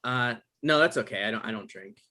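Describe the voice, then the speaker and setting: A man's voice: lowish voice, expressive, pushed and bright. A man playing an awkward, apologetic young man who turns dryly sarcastic when cornered, in a comedy table read. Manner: hesitant, halting, dry.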